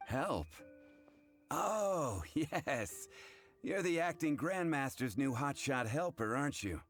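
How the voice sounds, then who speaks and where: A man's voice: on the high side, big pitch swings, slow pace, fairly bright tone, full character voice. A man playing a blunt, sardonic tavern bartender in an anime video game. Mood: gruff, sardonic. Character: blunt, wry male tavern bartender, adult. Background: music.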